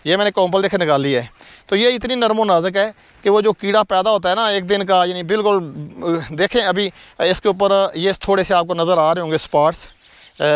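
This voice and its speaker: A man's voice: high-pitched, clean tone, dry recording. Chatty man touring his farm outdoors. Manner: chatty, shows things to viewers as he walks. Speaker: farm vlogger. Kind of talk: casual farm tour narration. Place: outdoors on a farm among fields.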